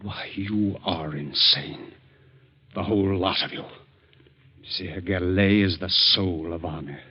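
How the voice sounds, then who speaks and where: A man playing a gentle, absent-minded scientist, stammering and horrified, in 1940s radio drama style. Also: sits low, measured pace, husky. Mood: indignant. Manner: stammering, flustered, exclamatory. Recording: muffled 1940s radio recording.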